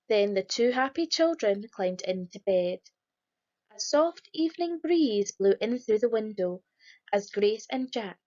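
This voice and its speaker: A woman reading a rhyming children's picture book aloud in a lively, sing-song storytelling manner. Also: medium pitch, lo-fi sound. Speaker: storybook reader.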